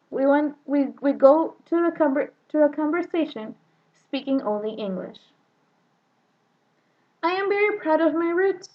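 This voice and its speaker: A woman's voice: high-pitched, muffled recording. A young woman from northern Mexico, a native Spanish speaker with a Mexican accent touched by Texan, giving a careful prepared talk about herself. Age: young adult. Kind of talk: prepared personal monologue.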